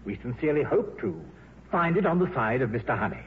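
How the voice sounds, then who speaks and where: A male actor plays a courteous, high-ranking aviation boss, formal in wording and animated in pitch. The old radio broadcast sounds tinny, with slight noise. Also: lightly acted. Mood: formal, concerned. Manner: formal, measured. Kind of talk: 1950s radio drama acting.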